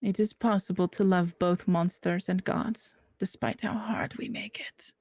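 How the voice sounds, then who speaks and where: A woman voicing an ancient, courteous being from a realm of shadow, with a put-on Russian accent and formal, measured speech. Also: mid-range voice, fairly even intonation, a little mellow, no echo. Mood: courtly.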